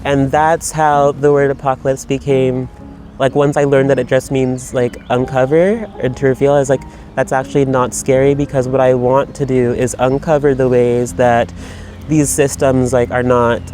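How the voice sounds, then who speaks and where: A man of ordinary pitch talks in a loose, reflective monologue, circling his thoughts with frequent fillers and restarts. Faint music sits underneath. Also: little pitch movement, moderate pace, darkish tone. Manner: rambling, reflective, many fillers. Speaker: writer. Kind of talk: personal video monologue. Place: Berlin.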